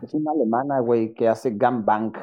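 A man with a Mexican accent on a stream call, talking quietly and offhand. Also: medium pitch, fairly quick, faint music behind. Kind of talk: casual live-stream banter. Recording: quiet muffled call audio.

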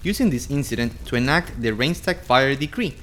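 A man's voice: medium pitch, animated, brisk, fairly bright tone, a little hiss or hum. A man from Ecuador co-hosting a history podcast, reading scripted narration in turns with his co-host.

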